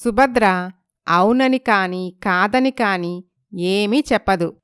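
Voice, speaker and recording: A woman's voice: mid-range voice, takes it slowly, a bit bright, smooth, no room sound, clean studio sound. A woman reading a scripted dramatic story as its narrator.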